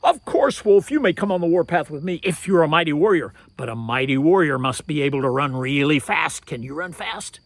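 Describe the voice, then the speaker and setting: A storyteller voicing a clever, boastful male turtle on the warpath, calling out in a high, shouting voice. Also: normal speed, vocal fry, hardly any pauses, husky, no room sound, heavily acted. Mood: sly, taunting. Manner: high shouted taunts, sly whispered thoughts.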